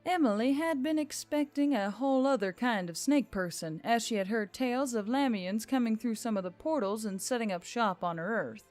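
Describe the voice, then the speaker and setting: A woman's voice: a little high, animated, moderate pace, few pauses, darkish tone. A woman reading scripted fiction as an audiobook narrator. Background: music.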